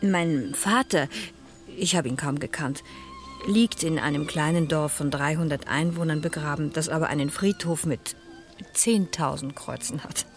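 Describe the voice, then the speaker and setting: A thoughtful, dry schoolmistress in her sixties from a vintage radio drama. Her voice is very low and measured, with faint music behind it. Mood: reflective. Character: reserved, reflective retired French schoolmistress at a spa. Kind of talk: 1970s German radio play acting.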